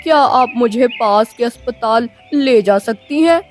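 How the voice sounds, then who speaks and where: A woman's voice: on the high side, measured pace, soft background music, close to an everyday voice. A woman voicing a sick, polite owl in a children's cartoon, pleading in manner. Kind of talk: Hindi children's cartoon voice acting.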